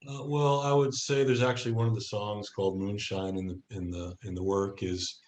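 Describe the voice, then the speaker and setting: A man as a podcast guest, talking casually and reflectively, halting as he searches for the right words. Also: fairly low pitch, little pitch movement, darkish tone. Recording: echoey room.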